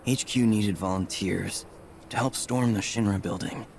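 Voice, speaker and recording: Earnest, sober rebel volunteer. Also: male voice, lowish voice, a little slow, vocal fry, darkish tone, slight background noise. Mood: somber, resolute. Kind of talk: dramatic video game narration. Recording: music behind the voice.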